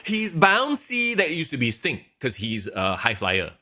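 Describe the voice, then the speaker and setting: A young man co-hosting a wrestling podcast, talking in an animated way and acting out quotes as he explains. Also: fairly high pitch, normal speed. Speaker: podcast co-host. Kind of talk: casual wrestling chat.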